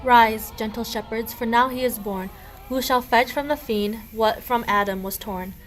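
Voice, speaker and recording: A woman's voice: fairly high pitch, normal speed, soft background music, close to an everyday voice, rough recording. A woman playing a solemn, benevolent angel, proclaiming in rhymed verse for a radio play.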